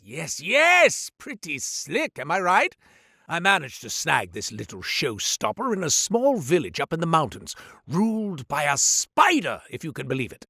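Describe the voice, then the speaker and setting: A man's voice: vocal fry, no room sound, studio quality, heavily acted. A flamboyant goat-man merchant. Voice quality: wildly swinging pitch, booming then muttering. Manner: grandiose salesman patter with deadpan asides. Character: flamboyant male goat-man merchant, showy, sly, theatrical. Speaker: shopkeeper character. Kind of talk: video game voice lines. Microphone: dry studio mic.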